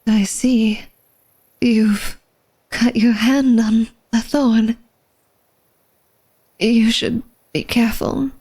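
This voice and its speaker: Slow, seductive vampire hostess played by a woman. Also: fairly high pitch, steady tone, a little mellow, husky, heavily acted. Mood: genteel, proud. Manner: formal, genteel, coy, teasing speech addressed to the listener. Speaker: ASMR roleplay voice actor. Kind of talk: ASMR roleplay acting, flirtatious.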